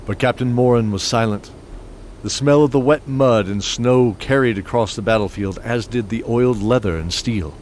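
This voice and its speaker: A man narrating an audio drama in a measured, descriptive storytelling manner. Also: mid-range voice, darkish tone, a little hiss or hum. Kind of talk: scripted narration. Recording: music bed under voice.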